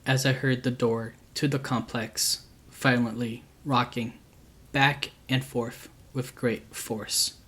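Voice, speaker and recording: A man narrates scary stories with a calm, unhurried read. His pitch sits in an ordinary middle range but barely moves, so the telling stays flat and even. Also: breathy edge. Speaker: storyteller, channel host. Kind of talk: reading true scary stories aloud.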